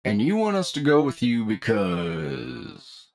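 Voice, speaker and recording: A man playing a shrewd, stern bounty hunter leader in an anime audio drama, deliberate, probing and a little folksy. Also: mid-range voice, very animated, darkish tone, no room sound. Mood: skeptical.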